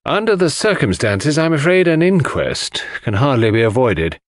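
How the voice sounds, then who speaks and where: A man voicing a self-important, brisk doctor, officious and formally polite, in an audiobook mystery. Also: mid-range voice, big pitch swings, no echo, voice pushed up, close to an everyday voice. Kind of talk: dramatic audiobook mystery reading.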